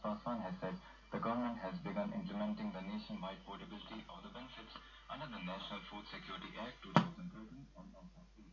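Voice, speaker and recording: A man's voice: lowish voice, steady tone, fast. A man reading formal scripted news, heard through a shortwave radio speaker. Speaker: radio newsreader. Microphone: radio speaker captured in a room. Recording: dull shortwave radio broadcast.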